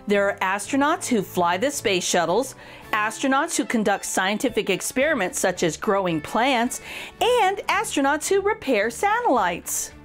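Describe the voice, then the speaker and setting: A woman reading a picture book aloud for children in an expressive, encouraging way, addressing young listeners directly with clear emphasis. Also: fairly high pitch, normal speed, soft background music. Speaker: children's storytime reader.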